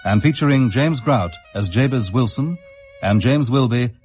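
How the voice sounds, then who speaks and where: A man's voice: medium pitch, expressive, normal speed, darkish tone. Male radio announcer over music. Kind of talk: scripted announcement.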